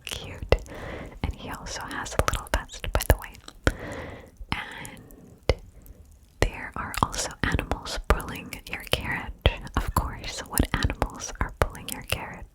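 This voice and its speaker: Asmr lady whispering a guided visualization, breathy n soothing, takes it real slow. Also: low-quality recording. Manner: soothing, slow, gentle pauses. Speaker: ASMR video host.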